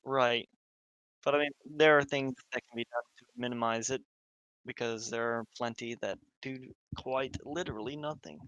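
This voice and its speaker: A man's voice: medium pitch, moderate pace, a bit bright, no echo, muffled recording. A young adult man with an American accent co-hosting a podcast, giving his opinions in casual discussion. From United States. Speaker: podcast co-host.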